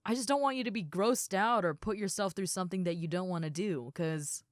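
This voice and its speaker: A woman playing a big, friendly ogre roommate in an audio roleplay, chatting casually and gently to the listener. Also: mid-range voice, a little fast, no echo, studio quality, close to an everyday voice. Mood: flattered, hesitant. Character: huge muscular red female ogre roommate, friendly and easygoing.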